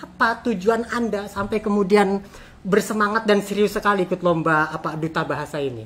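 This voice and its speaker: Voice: medium pitch, steady tone, brisk, fairly bright tone. An interviewer hosting a conversational chat, often repeating and affirming what guests say. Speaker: interviewer, host.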